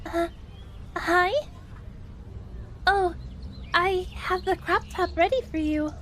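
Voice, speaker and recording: A woman's voice: very high voice, fairly even intonation, slow pace, a bit bright, exaggerated voice. A woman playing a flustered, polite young shopkeeper with hesitant exclamations, on a faintly noisy, distant recording.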